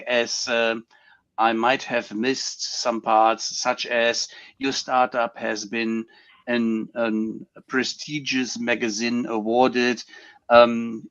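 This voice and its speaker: A man's voice: mid-range voice, a bit bright. Hesitant male host on dull audio. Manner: formal welcome, hesitant, many fillers. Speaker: show host. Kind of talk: casual show introduction.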